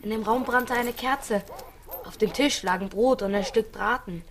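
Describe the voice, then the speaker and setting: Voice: medium pitch, moderate pace, fairly bright tone, slight background noise, close to an everyday voice. An actor in a children's radio play voicing a tough street kid, matter-of-fact, wry and teasing. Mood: matter-of-fact, bitter. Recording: studio radio play with faint music.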